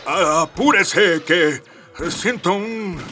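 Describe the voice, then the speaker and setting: An actor playing a pompous, self-important, cowardly emperor in a comic radio drama, with a haughty delivery. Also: male voice, high-pitched, drawn-out words, pushed and bright, distant mic, faint music behind, full character voice. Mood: pompous, huffy.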